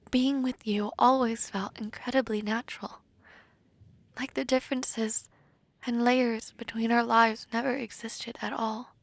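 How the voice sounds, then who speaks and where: A woman acting as a tender fantasy princess bride speaks softly and breathily, with heartfelt devotion. Her voice is lightly high and unhurried, recorded close and dry for romantic audio drama. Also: no echo. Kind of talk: scripted romantic audio roleplay.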